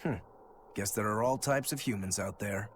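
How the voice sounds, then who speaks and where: A man's voice: lowish voice, normal speed, slight background noise, natural voice. An actor playing an easygoing, teasing male demon swordsman who likes his drink, in a video game. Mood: curious. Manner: wry, teasing, laid-back. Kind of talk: English video game voice acting.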